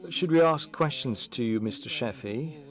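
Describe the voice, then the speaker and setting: A man's voice: mid-range voice, moderate pace. A man moderating a conference panel, introducing the speakers in a hesitant, unsure way with frequent fillers.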